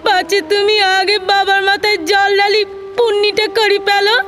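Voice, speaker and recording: Woman playing a very high, plaintive village girl. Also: completely flat delivery, normal speed, music in the background. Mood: tearful confessing. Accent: rural West Bengal dialect. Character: younger village maidservant, naive, guilt-prone, affectionate. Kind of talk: Bengali audio drama acting.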